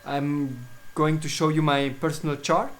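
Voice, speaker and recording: Swiss man speaking English with many pauses. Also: fairly high pitch, flat delivery. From Switzerland. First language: German. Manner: hesitant, halting, many fillers and restarts. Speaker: astrologer presenting his services. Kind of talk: casual solo video talk.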